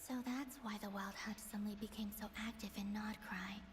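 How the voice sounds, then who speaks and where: An actress playing a guarded, composed young moon goddess in a video game, speaking in a measured, reserved way. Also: female voice, mid-range voice, fairly even intonation, noisy background, close to an everyday voice. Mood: grave. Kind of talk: dramatic video game dialogue. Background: music.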